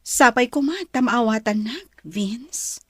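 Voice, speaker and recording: A woman with a Filipino accent playing a gentle, troubled young heiress in an Ilocano drama, apologetic but firm. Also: fairly high pitch, takes it slowly, dry recording, studio quality. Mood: regretful.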